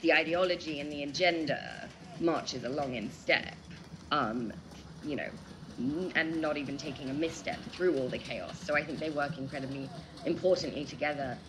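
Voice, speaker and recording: A woman's voice: sits low, moderate pace, noisy background. A woman in a reflective, unscripted discussion as a guest analyst, feeling her way through intellectual phrasing with hesitations. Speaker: interview guest giving political analysis. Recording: music playing behind the voice.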